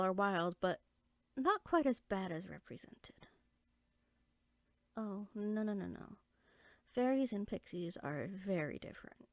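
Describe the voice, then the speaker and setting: A friendly, bookish fairy girl acted by a woman chats eagerly to a listener in a roleplay audio drama. Her mid-pitched voice slips into soft asides, recorded very dry and close. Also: no echo. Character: excitable, inquisitive young female fairy sorcerer, friendly and bookish. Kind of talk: one-sided roleplay audio drama, conversational.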